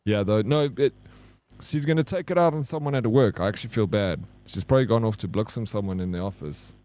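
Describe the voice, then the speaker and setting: A man's voice: fairly low pitch, brisk, warm tone. A man with a South African accent, giving casual, joking gameplay commentary as a streamer and bantering with his chat. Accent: South African English. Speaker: game streamer.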